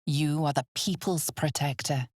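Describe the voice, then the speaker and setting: Slow ominous fantasy game narrator, hushed and dramatic, bit of gravelly fry, really close dry sound. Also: female voice, deep voice, no echo. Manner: measured, dramatic, hushed delivery.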